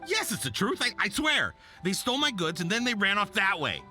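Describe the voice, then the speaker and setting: Brief: an anxious merchant, bright tense voice, jumpy pitch, stammers. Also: male voice, huge pitch swings, a little fast, soft background music, exaggerated voice. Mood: pleading. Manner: stammering, eager to please. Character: nervous male merchant, anxious and pleading. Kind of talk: game voice acting.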